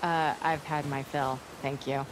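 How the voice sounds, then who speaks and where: A woman's voice: low voice, little pitch movement, measured pace, background noise. An actress playing a blunt, teasing young woman who questions her companion casually and dryly in a video game. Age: young adult. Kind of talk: naturalistic video game acting.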